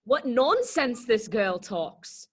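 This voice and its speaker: A woman's voice: fairly high pitch, sing-song, slow, slightly rough, full character voice. A woman voicing a snooty, dismissive talking rose in a children's readers theater play. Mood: disdainful. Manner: snooty, exaggerated disdain.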